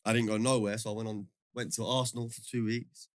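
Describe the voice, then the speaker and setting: A young man talks in a slangy, chatty way, his voice sitting low. He laughs often as he tells his stories. The sound is dry and close, with no room echo. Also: level tone, fast. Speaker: guest, former footballer. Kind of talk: casual podcast storytelling.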